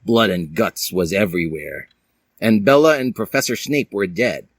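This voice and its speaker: A man's voice: on the low side, normal speed, dry recording. A man reading fan fiction aloud as a dramatic, comic storyteller with a theatrical manner. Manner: theatrical, puts on character voices.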